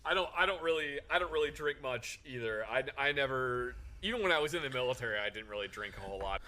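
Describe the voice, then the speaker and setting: A man's voice: medium pitch, lively intonation, fast, pushed and bright. A young man, an army veteran, talking bluntly and laid-back in a casual chat, swearing now and then.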